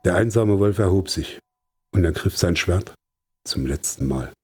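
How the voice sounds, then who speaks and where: A man playing a solemn, lone avenger in an audio drama, with a husky voice. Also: sits low, expressive, measured pace, heavily acted. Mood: solemn, grim. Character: solemn lone male avenger, a wolf with a sword.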